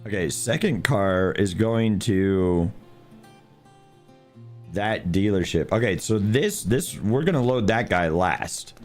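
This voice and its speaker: A man streaming a driving game with a hoarse, unusually deep voice from losing it, talking quietly and chatting with viewers. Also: animated, slow pace, faint music behind. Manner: talks quietly to spare his voice; chats to viewers, chuckles at himself. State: hoarse, lost voice. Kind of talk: casual livestream commentary while playing.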